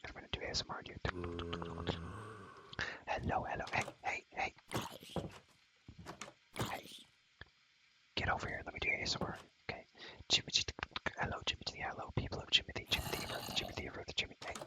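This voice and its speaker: A man whispering a casual, slangy ASMR tour of his game world, rambling and repeating his phrases in a breathy, unvoiced voice. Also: fairly quick, long pauses, low-quality recording.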